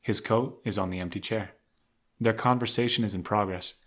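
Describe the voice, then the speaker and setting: A man's voice: lowish voice, fairly even intonation, normal speed, a bit bright. A man narrating a scripted audio drama, reading out stage action.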